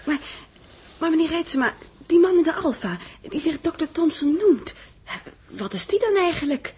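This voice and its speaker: Eager, high-voiced assistant. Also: female voice, normal speed. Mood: astonished. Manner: animated, questioning. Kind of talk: 1960s radio drama acting.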